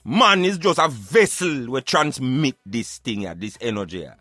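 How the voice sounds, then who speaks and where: A man's voice: mid-range voice, animated, moderate pace, bright, forceful tone, dry recording, soft background music. A Jamaican man giving emphatic, opinionated social commentary in Patois, like a street philosopher preaching on a radio show.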